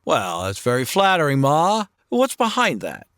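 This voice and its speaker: An actor playing an easygoing, lanky male cowboy drifter from Texas, talking in a slow drawl with stammers, in a comedy radio drama. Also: fairly high pitch, wildly swooping pitch, fairly bright tone, dry recording, studio quality, full character voice. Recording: hissy background noise.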